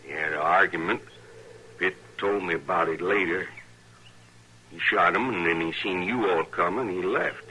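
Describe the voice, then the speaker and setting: An American actor playing a defeated, plaintive captured outlaw in an old-time radio western, with rough, uneducated phrasing. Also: male voice, low-pitched, normal speed, pushed and bright. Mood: resigned. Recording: slightly noisy vintage radio recording.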